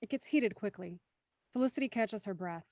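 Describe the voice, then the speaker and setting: A woman's voice: mid-range voice, expressive, normal speed, a little mellow, no echo, clean studio sound. A woman reading scripted, present-tense narration for an audio drama as its narrator.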